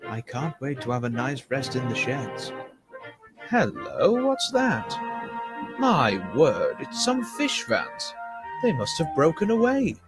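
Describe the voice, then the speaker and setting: A man's voice: mid-range voice, wildly swooping pitch, slow pace, music in the background, full character voice, rough recording. A man voicing a cheeky, kindly old steam engine. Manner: cheeky, polite.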